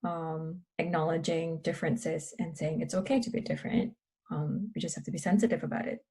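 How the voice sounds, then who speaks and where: A woman researcher in a casual panel discussion, talking thoughtfully and pausing often with fillers. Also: deep voice, fairly even intonation, warm tone, husky. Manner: thoughtful, pauses with many fillers. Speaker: researcher guest.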